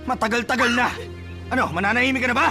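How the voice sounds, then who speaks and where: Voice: mid-range voice, soft background music. A hot-tempered cheating young man. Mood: angry shouting. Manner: dismissive, mocking, quick to shout. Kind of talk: radio drama acting.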